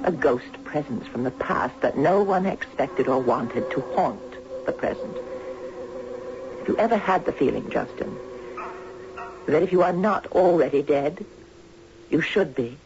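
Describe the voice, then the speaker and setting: An actress playing a refined, wistful lady in her sixties, telling her story genteelly in a radio drama. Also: low voice. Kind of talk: 1970s radio drama acting. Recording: dull, noisy 1970s radio recording.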